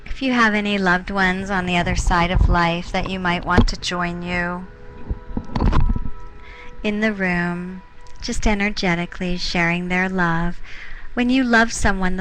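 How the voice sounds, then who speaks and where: A woman leading a guided meditation as a teacher, speaking in soothing, unhurried short phrases with pauses. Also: on the low side, fairly bright tone, rough recording. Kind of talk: guided meditation, softly instructing.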